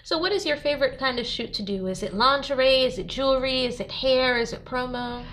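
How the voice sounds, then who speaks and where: Bright, upbeat female interview host. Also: sits high, fairly quick. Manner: upbeat, encouraging questions. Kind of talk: hosting a sit-down interview.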